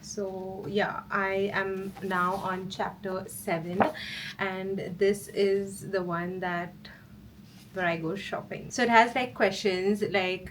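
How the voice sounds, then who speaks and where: Casual female lifestyle vlogger, mid-pitched voice, chatty and informal, with noticeable background noise in the recording. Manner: informal, chatty, frequent "like" as filler. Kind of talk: casual vlog chat.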